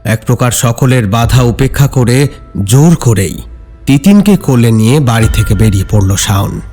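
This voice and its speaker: A man narrating a scripted horror story in a dramatic, measured, suspenseful way. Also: lowish voice, mellow.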